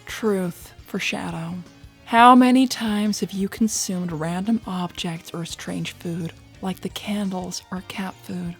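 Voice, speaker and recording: A woman's voice: fairly low pitch, takes it slowly, soft background music. A woman voicing a sly, flirtatious, confident female bat thief in a fan cartoon dub, with a teasing, casual drawl.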